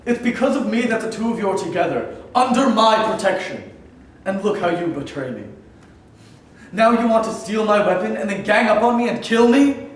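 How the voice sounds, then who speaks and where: A man's voice: sits high, animated, normal speed, slight background noise. A man playing a proud, domineering cave king in a staged reading, speaking in a commanding, grandiose way. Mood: paranoid anger. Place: theater in New York. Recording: echoey hall from far off.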